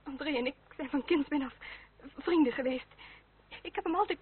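Actress as high-voiced, earnest young French noblewoman. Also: a little fast, lightly acted. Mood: earnest, urgent. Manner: formal, polite, direct. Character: earnest, well-bred young French noblewoman, candid and pleading. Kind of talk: old radio play acting. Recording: tinny, noisy old radio recording.

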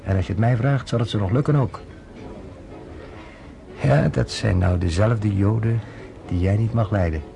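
An actor playing a calm, worldly journalist who talks persuasively with a wry edge, in a radio play. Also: male voice, lowish voice, moderate pace, gravelly, long pauses.

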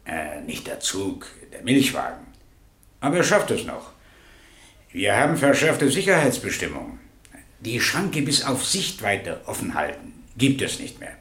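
A railwayman in his fifties testifying in a radio courtroom drama. His colloquial, clipped account moves with an animated melody. The room around the voice echoes noticeably. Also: on the low side, measured pace. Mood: plain, eager. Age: 50s. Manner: clipped, colloquial, matter-of-fact storytelling. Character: plain-spoken 56-year-old railway crossing keeper giving eyewitness testimony. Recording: roomy, echoing radio drama studio.

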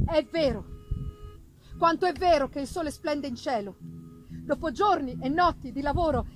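High-pitched woman reading theatrically. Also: poor audio. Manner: theatrical, deliberate phrasing with dramatic pauses. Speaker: reader performing a gothic novel. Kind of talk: dramatic literary reading to live music. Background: live musical accompaniment.

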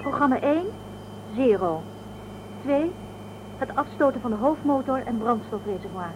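A woman's voice: a little high, expressive, moderate pace, background noise, lightly acted. An actress playing an assertive, resourceful woman on a spaceship crew in a Dutch science fiction radio drama. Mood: focused. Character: tense, resourceful female space ferry crew member.

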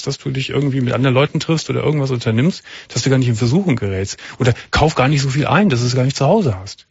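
A man's voice: mid-range voice, creaky, breathy edge, dry recording, dull audio. A man hosting a late-night call-in radio show, giving direct advice and often stumbling over and repeating his words. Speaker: radio talk show host.

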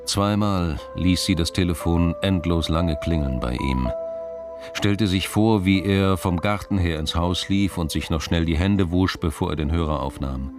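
A man narrating a radio crime drama as a sober, grave storyteller in a measured scripted style. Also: sits low, music in the background.

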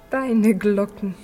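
An actress playing a poor young daughter, loving, playful yet earnest, in a 1950s German radio play with expressive delivery. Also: female voice, mid-range voice. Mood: affectionate, hesitant. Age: young adult.

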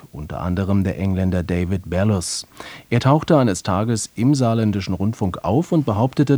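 A man's voice: deep voice, dark tone, dry recording. A man reading a scripted introduction to a radio play as its presenter. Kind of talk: scripted narration.

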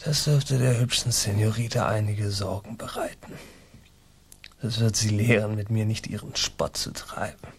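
An actor playing a scheming, vengeful colonial army captain in a German radio play, speaking in a pompous, formal and menacing way. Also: male voice, lowish voice, fairly even intonation, normal speed, gravelly, breathy edge. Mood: gloating, scheming.

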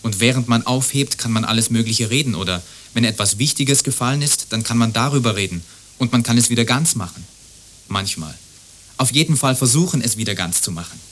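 An actor playing a lonely, helpful neighbour in a radio play, musing in an earnest, rambling way. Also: male voice, medium pitch, fairly quick, a bit bright, a little hiss or hum, natural voice. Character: lonely, helpful neighbour who loves mending broken things. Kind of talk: radio play monologue acting.

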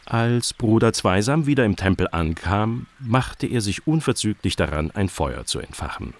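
Male narrator, deep voice, scripted children's storytelling, radio play. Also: big pitch swings, normal speed.

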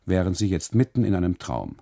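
A man's voice: deep voice, very animated, fairly quick, creaky, mellow, heavily acted. A man voicing a suspicious, scolding Italian custodian in a radio play, pointed and insistent in his reproaches. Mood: stern reproach.

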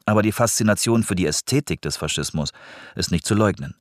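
A man's voice: on the low side, lively intonation, a little fast, dry recording, studio quality. A man narrating a scripted radio documentary.

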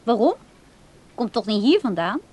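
A woman's voice: fairly high pitch, sing-song, normal speed, a little mellow, husky. A woman playing a curt, indifferent, tired working woman in a radio play, terse and dismissive. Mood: offhand, dismissive. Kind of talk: Dutch radio play acting.

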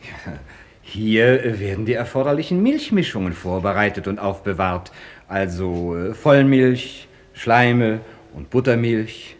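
A man's voice: fairly low pitch, lively intonation, measured pace. A man acting an earnest hospital doctor in a German radio play, formal, deferential and hesitant in his wording. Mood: obliging, hesitant.

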